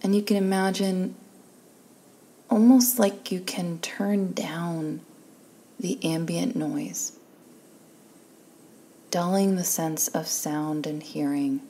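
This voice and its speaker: A woman leading a guided meditation in a soft, breathy, calm and soothing voice, leaving long pauses between phrases. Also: fairly low pitch. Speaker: guided relaxation instructor.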